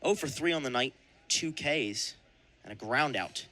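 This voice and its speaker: A young man calling baseball play-by-play for radio in crisp announcer phrasing with punched-up calls. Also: mid-range voice, lively intonation, measured pace, background noise. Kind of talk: live radio sports commentary.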